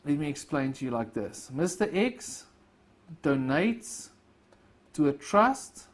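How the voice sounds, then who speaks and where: A man delivers a recorded tax lecture. His pitch rises and falls a lot as he steps through examples and acts out little quoted exchanges. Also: slow. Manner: explains with lively everyday examples and quoted asides. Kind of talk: recorded lecture over slides. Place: voice-over on slide screen recording.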